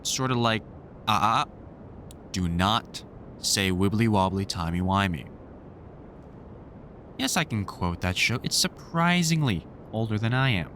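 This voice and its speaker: A man's voice: fairly low pitch, lively intonation, unhurried, pauses often, a bit bright, slight background noise. A man playing a wry, grumpily fond vampire hunter in a conversational audio roleplay, teasing and swearing through rambling explanations. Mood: explaining, wry.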